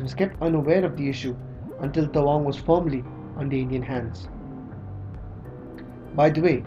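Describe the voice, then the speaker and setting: A man narrating a scripted history documentary in a measured, read-aloud way. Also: fairly high pitch. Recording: slightly dull audio with music underneath.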